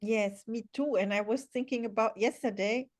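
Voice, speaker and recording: German-native woman host, higher voice, eager and encouraging interviewer. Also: fairly even intonation, normal speed. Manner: enthusiastic, encouraging. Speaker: podcast host. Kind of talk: podcast interview.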